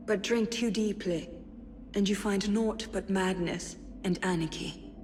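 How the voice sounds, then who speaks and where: Actress doing a young noblewoman in a video game, reading letters, wistful n witty, mid pitch, bit of noise behind her. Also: darkish tone, lightly acted. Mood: anguished, pleading. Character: spirited young French noblewoman, witty, tender, resolute.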